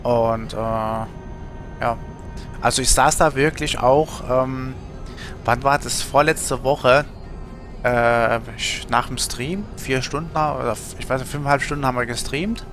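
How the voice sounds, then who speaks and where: A man's voice: mid-range voice, a little slow, fairly bright tone, soft background music. A man in his thirties from Germany, chatting casually on a gaming livestream in a rambling, colloquial way, thinking aloud. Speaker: streamer.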